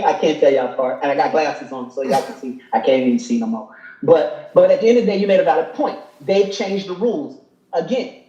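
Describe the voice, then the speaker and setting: A man's voice: on the high side, expressive, normal speed, warm tone, reverberant, muffled recording. A man in his fifties on a live talk show, making emphatic points and tagging them with "okay?". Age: 50s. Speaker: guest.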